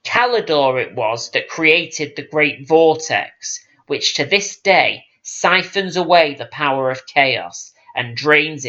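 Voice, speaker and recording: Slow-paced man narrating epic fantasy. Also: a little high, sharp tone, lo-fi sound. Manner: solemn, measured storytelling. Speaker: audiobook reader. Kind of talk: scripted dramatic fantasy narration.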